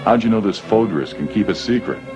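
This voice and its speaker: An actor playing a cocky, sarcastic American space smuggler, wisecracking and informal, in an audio drama. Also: male voice, fairly low pitch, lively intonation, brisk, music in the background, natural voice. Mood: skeptical, brash.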